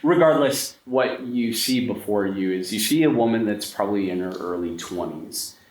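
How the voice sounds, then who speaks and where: A man's voice: on the low side, expressive, moderate pace, darkish tone. A man acting as game master, narrating a tabletop horror game to players. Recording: echoey room, faint noise.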